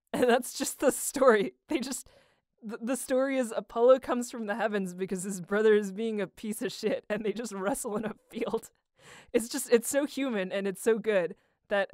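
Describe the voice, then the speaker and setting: A woman's voice: mid-range voice, no room sound, studio quality. A young woman telling stories in a casual, slangy, irreverent way, rambling as she goes. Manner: slangy, irreverent, animated retelling with acted quotes. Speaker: amateur voice actor answering a question.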